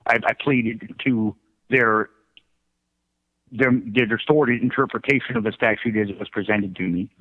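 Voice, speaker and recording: A middle-aged man telling his own story as a radio interview guest, in a halting, self-correcting way. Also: fairly low pitch, fairly even intonation. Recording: thin phone-like line.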